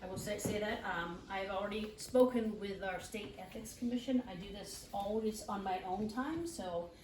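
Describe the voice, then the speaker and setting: A woman's voice: medium pitch, normal speed. Chatty woman speaking far from the mic. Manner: chatty, with many fillers and restarts. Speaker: identity theft specialist. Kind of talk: speaking to a live group. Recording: roomy, distant mic, clear background noise.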